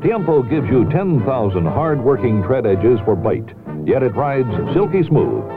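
Tinny, animated male radio ad announcer. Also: mid-range voice, unhurried. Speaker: commercial announcer. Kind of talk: scripted radio advertisement. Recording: tinny narrow-band radio broadcast. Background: loud music.

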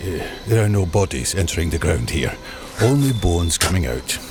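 A man's voice: sits low, sing-song, normal speed. A man playing a superstitious, muttering gravedigger in a 19th-century village audio drama, credulous and old-fashioned in his phrasing. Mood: ominous.

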